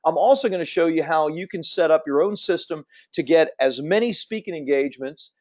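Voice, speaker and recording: A man in his fifties with a slight Southern American accent, rattling through a webinar at speed. His voice sits a little high for a man. His delivery is upbeat and salesy, like a pitch to an online audience. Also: brisk, a little mellow. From Central Florida. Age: 50s. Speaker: webinar host. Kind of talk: fast promotional webinar presentation.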